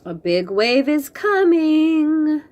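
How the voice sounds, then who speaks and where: A woman's voice: high-pitched, big pitch swings, very slow, a little mellow, voice pushed up, heavily acted. A woman playing an eager surfer cat pal. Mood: encouraging. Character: sporty surfer cat friend, encouraging.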